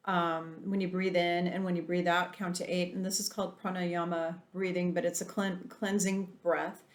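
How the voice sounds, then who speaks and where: A woman's voice: fairly low pitch, level tone, normal speed, roomy sound. Soothing woman guiding a meditation. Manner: soothing, softened voice. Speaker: meditation guide. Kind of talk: guided meditation.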